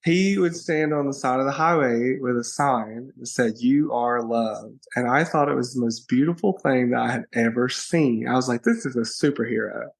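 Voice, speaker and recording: A playful man being interviewed, with a gravelly, creaky edge running through his medium-pitched voice. He talks in a bubbly, casual way and laughs often. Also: normal speed, few pauses. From Alabama, USA. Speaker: guest, social media personality.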